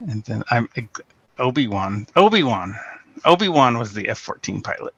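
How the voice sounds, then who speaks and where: A man calling in over a video call, talking haltingly with lots of fillers. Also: mid-range voice, big pitch swings, a bit bright, husky, no echo, highs cut off. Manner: halting, hesitant, many fillers. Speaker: caller. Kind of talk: casual call-in chat.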